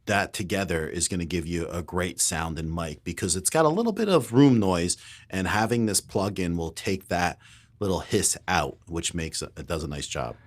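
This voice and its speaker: A man co-hosting a live stream, explaining gear settings earnestly in a casual, halting way on a pencil condenser mic. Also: low voice, expressive. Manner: earnest, explanatory, hesitant starts. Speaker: co-host of a tech live stream.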